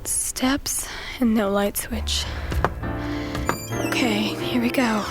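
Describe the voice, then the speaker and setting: A brave, curious young woman in an audio drama. Her high voice is hushed and breathy, and she thinks aloud slowly over a music bed. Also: steady tone. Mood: hushed, wary.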